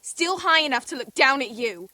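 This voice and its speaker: A young highborn girl in a fantasy game. Her voice is very high and youthful. She is feisty, indignant and openly defiant. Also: expressive, moderate pace, bright, forceful tone, no echo, rough recording. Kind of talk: dramatic video game acting.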